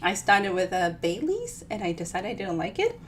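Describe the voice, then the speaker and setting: A woman's voice: mid-range voice, wildly swooping pitch, moderate pace, slight background noise. A woman from the Dominican Republic chats casually and playfully as an author hosting a livestream storytime, in a bubbly, informal way. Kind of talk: casual chatty livestream talk.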